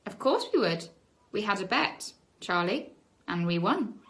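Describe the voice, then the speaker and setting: A woman's voice: medium pitch, big pitch swings, unhurried, a bit bright. A woman voicing a bold, gleeful child hero who trains a dog, in a storybook reading. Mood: gleefully taunting. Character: triumphant young child narrator-hero, cheeky dog trainer.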